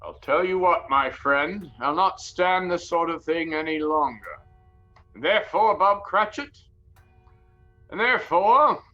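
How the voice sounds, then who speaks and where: An old miser boss with a high, bright voice. He blusters and repeats himself, half mock-stern, half giddily jovial, in theatrical audio-drama style. The sound is slightly narrow, with faint music behind. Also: unhurried. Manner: blustering, exclamatory, repeats words excitedly.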